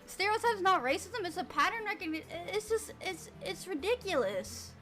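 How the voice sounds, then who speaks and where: A woman's voice: very high-pitched, very animated, normal speed, bright, forceful tone, faint music behind. A woman from Cuba ranting to camera, emphatic and exasperated as she lectures her viewers. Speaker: online video creator in a played clip.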